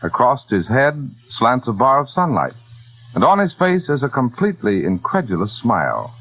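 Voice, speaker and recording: A man's voice: medium pitch, wildly swooping pitch, normal speed, low-quality recording. An American man announcing and narrating a 1940s radio drama in a formal, dramatic style. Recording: vintage 1940s radio with loud music. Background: loud music.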